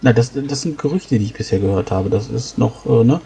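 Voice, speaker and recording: A man improvising in-character dialogue in a tabletop role-playing game, explaining things in a halting way. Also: mid-range voice, vocal fry, mellow, slight background noise.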